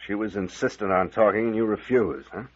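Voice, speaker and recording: A low, slightly husky investigator in a vintage radio mystery. He is terse, shrewd and in command, probing calmly. The recording is muffled and dull. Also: a little fast. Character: captain investigator, shrewd, firm, calmly questioning male. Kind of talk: 1940s radio mystery acting.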